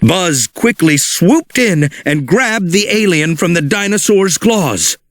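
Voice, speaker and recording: A man reading a children's storybook aloud in an animated, expressive way. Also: high-pitched, slow pace, no room sound, clean studio sound. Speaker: storybook narrator. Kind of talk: read-along storybook narration.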